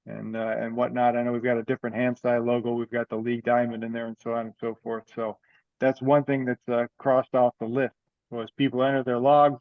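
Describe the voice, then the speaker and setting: A man giving an informal, unscripted update as he presents at an online meeting, often halting and thinking aloud. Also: mid-range voice, no echo. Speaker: online meeting presenter for an amateur radio group. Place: dry room, on a video call. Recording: dull, lo-fi video-call audio.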